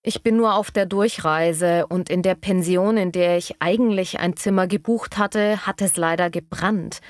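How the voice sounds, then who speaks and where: A woman acts as a polite traveller short of money in a scripted lesson dialogue. Her voice is medium-pitched and closely recorded without room sound. She speaks with courtesy. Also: dry recording, studio quality, close to an everyday voice. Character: polite, hard-up female traveller seeking a room.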